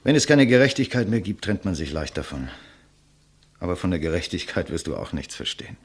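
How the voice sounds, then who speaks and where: Proud, theatrical writer with sweeping pitch. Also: male voice, big pitch swings, brisk, full character voice. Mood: resigned, scornful. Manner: theatrical, eloquent, sardonic. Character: proud, defiant male writer facing his executioner. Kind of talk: 1950s German radio play acting.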